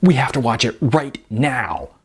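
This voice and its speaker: A man voicing an excitable young mother who gushes breathlessly in an audiobook. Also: mid-range voice, measured pace, breathy edge, full character voice. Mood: thrilled. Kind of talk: audiobook character voice.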